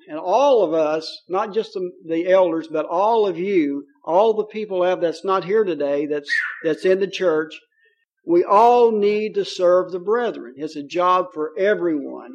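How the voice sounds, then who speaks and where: Kentucky preacher guy, pretty high voice, jokey and folksy, lots of uhs. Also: lo-fi sound. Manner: folksy, joking, with many fillers. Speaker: pastor. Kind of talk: sermon.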